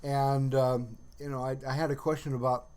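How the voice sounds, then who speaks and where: A man hosting a casual livestream, chatting in a rambling, halting way and often trailing off mid-sentence. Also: medium pitch, little pitch movement, darkish tone, clear, resonant tone. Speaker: livestream host.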